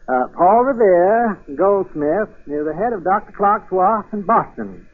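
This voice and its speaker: An actor in a 1940s radio drama playing a chatty small-town barber, rambling and persuasive in a folksy way. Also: male voice, high voice, lively intonation, measured pace. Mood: chatty, helpful. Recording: muffled, fuzzy vintage 1940s radio broadcast.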